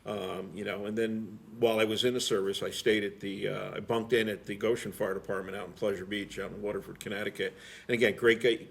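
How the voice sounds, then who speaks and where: Low-voiced middle-aged man, rambling storyteller. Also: steady tone, fast, vocal fry, fairly bright tone. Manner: plain-spoken, rambling, frequent "you know". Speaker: interview guest, veteran firefighter. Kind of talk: casual storytelling interview.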